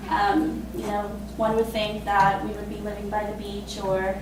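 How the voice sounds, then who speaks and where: A woman in her twenties from Costa Rica, telling her own story in a casual, halting way with many fillers and laughs. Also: mid-range voice, monotone, heavy reverb, far from the mic, noisy background. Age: 20s. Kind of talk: personal spoken testimony.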